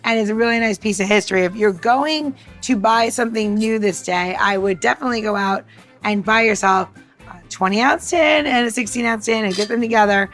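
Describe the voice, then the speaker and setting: A woman's voice: mid-range voice, fairly bright tone. A woman co-hosts a podcast in a casual, chatty way. Her voice sits in the middle range and she is blunt and self-mocking as she shares tips. Faint music plays behind her. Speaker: podcast co-host. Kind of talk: casual banter and cocktail tips.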